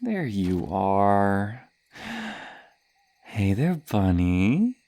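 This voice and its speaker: Casting: playful female slasher for ASMR horror woman acting, unhurried, close and dry, murmuring menace with coy stammers and whispers. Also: extremely low pitch, very animated, dark tone, dry recording, heavily acted. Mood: smug amusement. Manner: teasing, hesitant stammer, menacing, drifting into whispers. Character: sadistic playful female serial killer hunting in woods.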